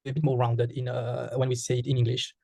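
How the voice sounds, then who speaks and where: Man, mauritian accent, creole first language, flat even pitch, mellow tone, dry sound, hesitant with fillers. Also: brisk, creaky, no echo. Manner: hesitant, many fillers and restarts. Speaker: interviewee. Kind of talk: casual interview answers.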